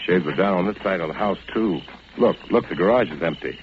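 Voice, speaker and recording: An actor with an American accent playing an anxious, insistent husband, hesitant and intense, in 1940s radio style. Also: male voice, deep voice, brisk, background noise, natural voice. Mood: uneasy. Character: anxious, insistent architect husband searching for his wife. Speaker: actor and narrator. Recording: dull old radio recording with music.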